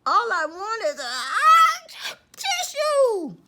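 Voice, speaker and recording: A woman's voice: extremely high pitch, huge pitch swings, drawn-out words, sharp tone, voice pushed up, full character voice. A woman putting on a sniffly, stuffed-up wolf. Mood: pleading. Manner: whiny, nasal sniffling pleas. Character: sniffly kind male wolf with a cold.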